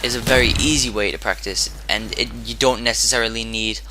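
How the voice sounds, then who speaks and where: A man with a hoarse, sore-throated voice giving casual commentary over his own gameplay, rambling and often restarting his sentences. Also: fairly low pitch, steady tone, brisk, noisy background. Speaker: gaming commentator.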